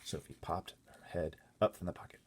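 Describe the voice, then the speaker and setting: A man reading a children's story aloud as an expressive narrator. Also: low-pitched, brisk, slight background noise. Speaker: storybook reader.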